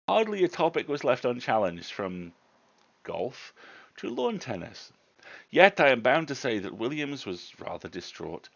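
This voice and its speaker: A man narrating a literary tale expressively, with theatrical and deliberate phrasing. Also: medium pitch, highs cut off. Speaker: host and story reader.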